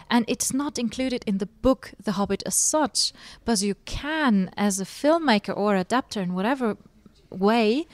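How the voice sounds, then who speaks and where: A woman hosting a podcast interview with a mid-pitched voice in a very dry, close sound. She speaks conversationally and reflectively, feeling her way through a point in short phrases. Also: a little mellow, dry recording.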